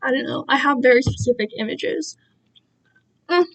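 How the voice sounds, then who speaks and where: A woman's voice: sits high, animated, normal speed, slightly rough, low-quality recording. A young woman livestreamer chatting casually, digressive and slangy, with self-deprecating asides. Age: young adult. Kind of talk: casual rambling chat to livestream viewers. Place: alone in own room.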